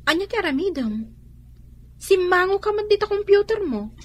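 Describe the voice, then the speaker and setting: A woman's voice: very high-pitched, very animated, normal speed. A woman playing a cautious, doubtful shop co-worker with an Ilocano Filipino accent in a radio drama, full of questions.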